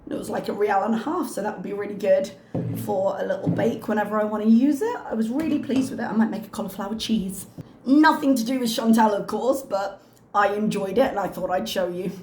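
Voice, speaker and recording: A woman with a hoarse, slightly strained voice, chatting casually and wryly as a reaction channel host. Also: mid-range voice, brisk, roomy sound, background noise. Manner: chatty, wry, self-mocking. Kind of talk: casual chat to camera.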